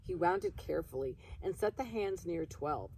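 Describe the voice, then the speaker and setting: A woman reading a children's story aloud in an expressive storytelling style. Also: on the low side, normal speed, far from the mic, a little hiss or hum, rough recording. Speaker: reader of a children's book.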